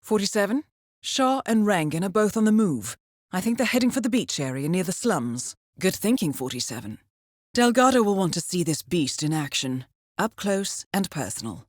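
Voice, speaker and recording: An actress playing a composed, coolly professional intelligence handler who guides an assassin in a video game, measured and dryly wry. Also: female voice, mid-range voice, very animated, no room sound, clean studio sound. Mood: serious, briefing, then wry, approving. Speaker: mission handler. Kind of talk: scripted video game mission briefing.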